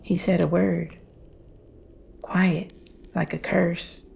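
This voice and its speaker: A woman playing a haunted, fearful witness, telling a horror story in a hushed, halting, deliberate way. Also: lowish voice, flat delivery, vocal fry, full character voice. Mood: haunted, uneasy. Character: haunted, fearful woman recounting her mother's terrifying secret. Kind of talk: slow dramatic horror storytelling.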